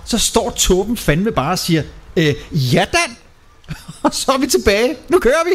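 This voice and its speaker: A man's voice: high voice, big pitch swings, a bit bright. A man hosting a satire radio show from the studio with casual, teasing, irreverent live banter.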